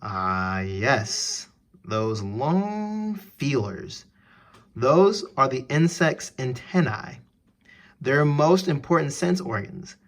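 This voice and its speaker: A man's voice: mid-range voice, big pitch swings, drawn-out words. A man reading an educational text aloud for children as a teacher, putting questions directly to the listener.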